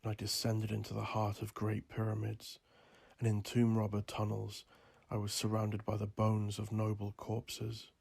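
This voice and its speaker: A man's voice: lowish voice, completely flat delivery, normal speed, gravelly, a little mellow, slight background noise. A man reading a scripted first-person narration in a solemn, portentous storytelling manner. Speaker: author narrating own memoir.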